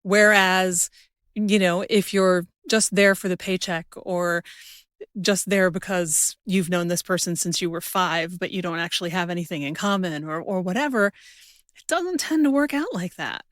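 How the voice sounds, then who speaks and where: A woman's voice: on the low side, moderate pace, a bit bright, no room sound, studio quality. A woman with an American accent talking casually as the host of an interview podcast.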